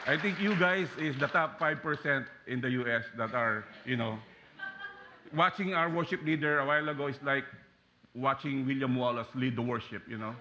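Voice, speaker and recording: A man in his forties from the Philippines with a Filipino accent, preaching a sermon as a guest pastor in a church, animated and joking with frequent fillers and restarts. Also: medium pitch, moderate pace, lo-fi sound, a little hiss or hum. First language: Taglish. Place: church sanctuary.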